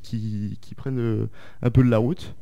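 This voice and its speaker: A man's voice: medium pitch, fairly even intonation, moderate pace, creaky, dark tone. A man taking part in a radio chat, hesitant and full of restarts. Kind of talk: casual chat.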